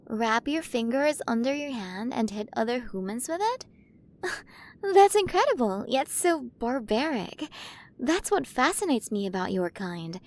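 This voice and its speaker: Alien galaxy queen played by a female performer, ASMR roleplay. Fairly high voice, playful, inquisitive, coaxing. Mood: fascinated. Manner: fascinated, coaxing, addresses listener directly. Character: curious, playful alien galaxy queen, millions of years old. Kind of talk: ASMR roleplay, acted monologue to listener.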